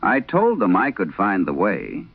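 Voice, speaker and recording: A man playing a weary, haunted soldier husband back from the dead, speaking in a halting, sorrowful way in a horror audio drama. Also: on the low side, huge pitch swings, voice pushed up, exaggerated voice. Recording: dull vintage-radio band-limited sound.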